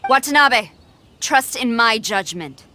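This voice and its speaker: A woman's voice: on the high side, lively intonation, takes it slowly, pushed and bright, a little hiss or hum, exaggerated voice. A woman voicing a composed, dutiful female samurai general in a video game, formal and decisive in manner. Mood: urgent.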